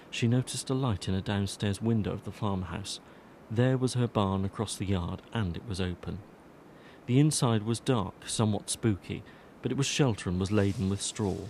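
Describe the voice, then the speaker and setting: A man's voice: on the low side, a little mellow, a little hiss or hum. A man reading scripted narration for an audiobook drama as its storyteller.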